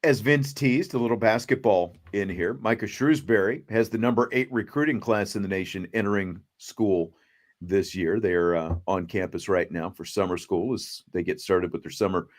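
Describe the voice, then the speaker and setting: A man's voice: on the low side, normal speed. An American man speaking as a sports talk show host, discussing teams in a casual, conversational style.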